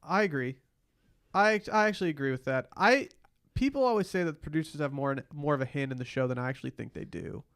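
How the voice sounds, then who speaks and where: A man's voice: on the high side, expressive, fast talker, a bit bright, clear, resonant tone. A man hosting a podcast, chatting casually and jokingly as he recaps a TV show. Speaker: podcast host.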